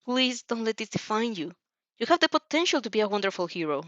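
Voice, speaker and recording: A woman's voice: on the high side, very animated, fast, a bit bright, no room sound, highs cut off. A woman voicing a caring, determined young heroine student in an earnest, emotional audio story.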